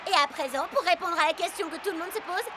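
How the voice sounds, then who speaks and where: An eager cartoon field reporter. Her very high voice puts post-match interview questions in front of an audible crowd. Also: fast talker. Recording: clear crowd noise behind.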